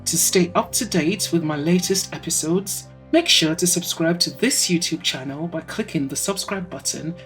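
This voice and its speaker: A woman's voice: on the low side, moderate pace, few pauses, faint music behind. A woman narrating a scripted audio drama as its storyteller.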